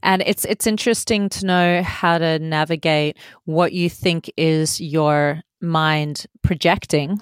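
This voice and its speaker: A woman co-hosts a podcast in a low, smooth voice. She speaks earnestly and eagerly. Her voice is recorded close and dry. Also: dry recording. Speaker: podcast co-host. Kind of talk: casual chat.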